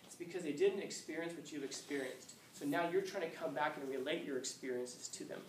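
A man in his fifties, a high school teacher lecturing a class, acting out scenes with playful voices. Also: fairly quick, a little hiss or hum. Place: classroom, indoors. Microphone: far camera. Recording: echoey classroom from far off.

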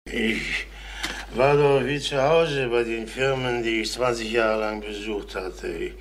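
Slow, halting, troubled middle-aged man. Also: mid-range voice, steady tone, a little hiss or hum. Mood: brooding, confessional. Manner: halting, confessional, repeating words. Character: troubled middle-aged ex-salesman turned burglar, brooding, evasive. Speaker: former travelling salesman. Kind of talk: crime radio drama acting.

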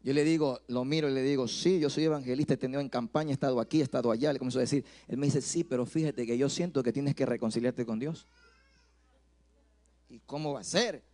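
A man's voice: medium pitch, fast talker, dark tone. A middle-aged man with an Ecuadorian accent preaching through personal anecdotes, acting out dialogue and repeating phrases into a handheld microphone. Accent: Ecuadorian Spanish. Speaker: pastor preaching. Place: church stage, indoors.

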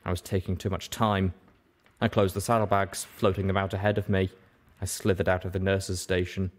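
A man's voice: sits low, level tone, a little fast. A man reading a first-person story aloud as scripted narration. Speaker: audiobook reader.

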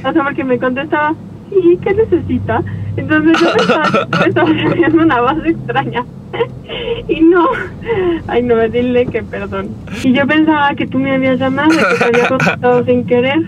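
A woman's voice: sits high, moderate pace. A young woman with a Mexican accent chatting casually through a telephone speaker. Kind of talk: casual phone chat. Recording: dull speakerphone in noisy car.

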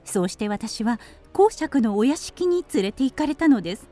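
High, lilting, ladylike canary. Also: big pitch swings, moderate pace, warm tone, soft background music. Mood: proud, genteel. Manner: polite, genteel, storytelling. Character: refined, ladylike female canary telling her life. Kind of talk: Japanese radio drama narration.

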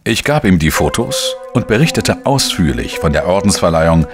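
A very low, lively male voice, the dogged and wry sleuth of a crime audio drama. He is deliberate and reflective, moving freely in intonation, with music behind him. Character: dogged, wry male journalist-sleuth narrating his own case. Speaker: lead actor and first-person narrator. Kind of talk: German crime audio drama, narration and dialogue.

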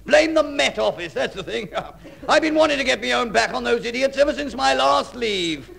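An actor playing a jovial, dismissive older admiral in a 1950s radio comedy, brisk and blustering. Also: male voice, extremely high pitch, lively intonation, gravelly, a bit bright, husky, full character voice.

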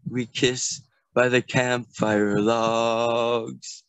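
A middle-aged man recites his own lyrics in a lilting, half-sung way, unhurried and drawn out. His voice is smooth and resonant. He is recorded outdoors in a garden on a video call, and the sound is dry and close. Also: medium pitch, flat delivery, a bit bright, no echo. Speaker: performer at an open mic. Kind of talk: slow recited song lyrics. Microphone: video call from a handheld device.

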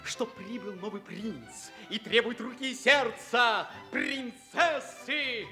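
An actor playing a sly, excitable clown servant, needling and exclamatory, in a theatrical radio comedy. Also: male voice, very high-pitched, slow, faint music behind, exaggerated voice. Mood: explaining.

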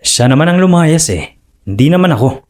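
A man's voice: on the high side, lively intonation, brisk, a little mellow, close to an everyday voice. An actor playing a proud, jealous fisherman husband and father in a radio drama, stubborn and defensive. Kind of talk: broadcast radio drama acting.

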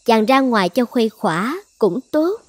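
Possessive, high-voiced young sorceress. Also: female voice, big pitch swings, normal speed, dry recording. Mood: wary, indulgent. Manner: commanding, self-assured. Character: possessive young island sorceress, coaxing and threatening. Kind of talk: dubbed fairy-tale cartoon acting. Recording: music behind the voice.

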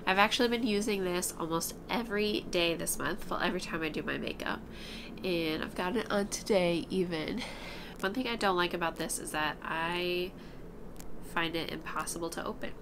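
A woman's voice: lowish voice, moderate pace. A woman in her twenties, a vlogger chatting casually to camera about products, slightly congested from allergies, recorded in a small room at home. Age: 20s. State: stuffed up from allergies. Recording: clear room noise behind voice.

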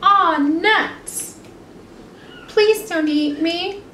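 A woman's voice: very high-pitched, extremely slow, pushed and bright, voice pushed up, full character voice. A woman voicing an exasperated little male rabbit from a picture book, pleading and exclaiming as he bargains. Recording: echoey room, distant mic, some noise.

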